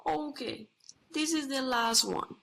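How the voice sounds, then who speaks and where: A hard-nosed attendant played by a woman speaks in a radio play with a dry, knowing tone. The delivery is very unhurried and a little high, with a bright edge. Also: creaky, slight background noise, exaggerated voice. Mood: wry.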